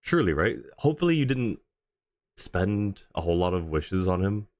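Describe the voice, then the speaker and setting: A man's voice: low voice, very animated, normal speed, darkish tone, no echo. A man hosting a casual livestream, talking in an easygoing, conversational way as he answers chat. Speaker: livestream host.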